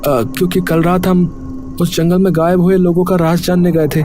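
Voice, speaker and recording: A man's voice: sits high, flat delivery, normal speed, mellow, soft background music, natural voice. Man playing an earnest, cautious young villager.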